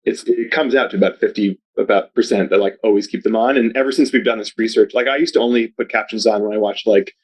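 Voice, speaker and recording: A man with a fairly low voice talks casually as a podcast guest. His delivery is loose and rambling, full of "like" and restarts, and stays upbeat. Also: fast talker, few pauses, husky. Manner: rambling, heavy use of "like", upbeat. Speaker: podcast guest, video advertising researcher. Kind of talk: casual interview chat.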